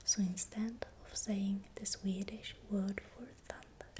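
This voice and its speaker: Slow whispering ASMR woman. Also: mid-range voice, level tone, a little hiss or hum. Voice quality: breathy unvoiced whisper. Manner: slow, deliberate, repeats words softly. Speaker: ASMR creator narrating folklore. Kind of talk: whispered ASMR narration of folklore.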